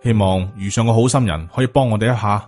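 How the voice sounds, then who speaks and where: Man voicing a stoic, low-toned Taoist master. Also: faint music behind, close to an everyday voice. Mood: stern, calm. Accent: Hong Kong Cantonese. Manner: flat, unhurried, lecturing. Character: stoic, world-weary male Taoist master.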